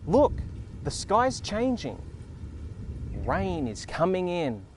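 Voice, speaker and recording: An Australian man hosts a children's read-aloud. His voice sits a little high and swings widely in pitch. He comes across as playful and expressive, talking as if to young listeners. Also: slow pace, a little hiss or hum. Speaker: children's storyteller and show host. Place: outdoors in a forest.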